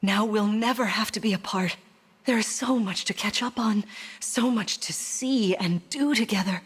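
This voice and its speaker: An actress playing a gentle, regal mother queen in a video game, speaking in a tender, formal, measured way. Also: mid-range voice, slightly rough, no echo. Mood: loving, pensive. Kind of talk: scripted video game voice acting.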